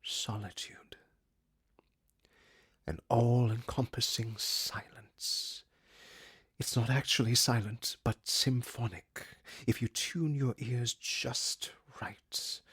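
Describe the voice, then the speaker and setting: An actor playing a brooding, guarded musician with a breathy, husky voice in an introspective audio drama. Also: male voice, mid-range voice, fairly even intonation, slow pace, a bit bright, no echo, in a whisper, studio quality, heavily acted. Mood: hushed, poetic. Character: brooding, guarded male musician leaving his lover.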